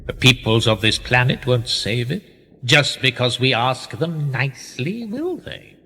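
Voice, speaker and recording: Man acting a smug husky establishment schemer, reverberant. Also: mid-range voice, animated, sharp tone, heavily acted. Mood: coolly persuasive. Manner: measured, rhetorical, condescending. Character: older establishment schemer, smug, patronising, coolly manipulative. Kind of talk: radio play acting.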